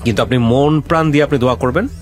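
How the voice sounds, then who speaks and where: A man's voice: mid-range voice, very fast, soft background music. A man hosting a talk show, interviewing a guest and earnestly offering advice. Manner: earnest, gently advising. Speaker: radio show host. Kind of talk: interviewing a guest and reflecting on his story.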